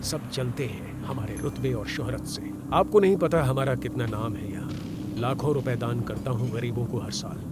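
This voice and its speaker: Man playing a haughty landlord over loud music. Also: mid-range voice, lightly acted. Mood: haughty, irritated. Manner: haughty, indignant, self-important. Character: proud, evasive wealthy village landlord patriarch. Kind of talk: Hindi motion comic acting. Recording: loud dramatic background music.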